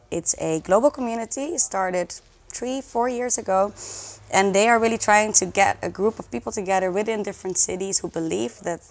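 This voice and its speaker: A woman speaking as a guest in a conversational interview, explaining things with enthusiasm. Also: medium pitch. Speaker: interview guest, social project community organizer.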